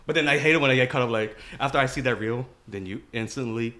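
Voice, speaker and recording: A man's voice: medium pitch, fast, bright, forceful tone. A man co-hosting a podcast in casual banter, joking and slangy, often repeating short phrases. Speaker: podcast co-host. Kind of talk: casual banter between friends.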